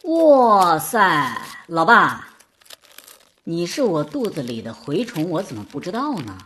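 Woman playing a cocky, animated young boy. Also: on the low side, slow, a little hiss or hum, heavily acted. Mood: excited, teasing. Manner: exclamatory, bantering. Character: cocky, competitive young boy prodigy, playful with Dad. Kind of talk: audio drama acting.

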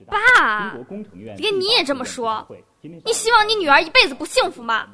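Woman acting very high-voiced, distressed young daughter. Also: big pitch swings, normal speed, crowd noise. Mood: defiant pleading. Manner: pleading, tearful, defiant. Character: distressed young daughter resisting an arranged marriage. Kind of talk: Chinese audio drama acting. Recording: music behind the voice.

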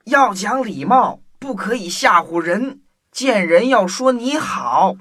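A woman's voice: mid-range voice, expressive, takes it slowly, heavy background noise, voice pushed down. A woman voicing an old grandfather who teaches good manners in a children's storybook radio play. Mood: instructive. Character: kindly old grandpa giving advice.

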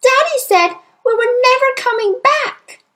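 A small, earnest girl from a children's storybook. The voice is very high and swoops with urgent emphasis. The delivery is breathless, bright-minded and pleading. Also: big pitch swings, measured pace, full character voice. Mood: panicked, breathless. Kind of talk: children's audiobook character voice.

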